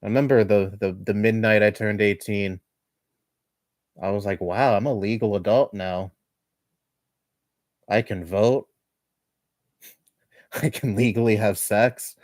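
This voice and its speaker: A man in his twenties chatting casually on a livestream at home, rambling and laughing softly between thoughts. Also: lowish voice, measured pace, long pauses, dry recording, studio quality. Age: 20s. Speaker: livestreamer reading chat. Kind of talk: casual chat.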